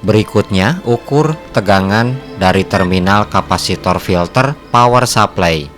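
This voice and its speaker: Male narrator for a technical how-to: deep pitch, methodical and formal, scripted read over soft music. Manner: instructional, measured, formal step-by-step phrasing. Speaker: host of an electronics repair channel. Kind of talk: scripted narration of a repair tutorial.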